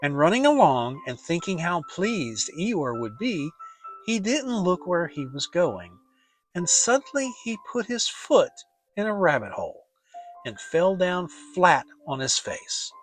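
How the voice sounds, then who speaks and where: A narrator giving an expressive dramatic reading of a children's story. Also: medium pitch, measured pace, faint music behind, low-quality recording. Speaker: audiobook narrator.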